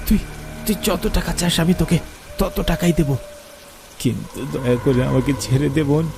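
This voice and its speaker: A man's voice: a little high, normal speed, vocal fry, pitched above the performer's own voice. A man voicing a greedy, hot-tempered brother in a cartoon, with dramatic exclaiming delivery and music behind him. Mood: begging.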